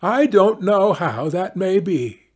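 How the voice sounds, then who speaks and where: A man's voice: high-pitched, lively intonation, slow pace, husky, pitched above the performer's own voice, exaggerated voice. A man voicing a greedy, domineering fisherman's wife in a fairy-tale audiobook, imperious and demanding, stretching out the words. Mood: haughty, restless.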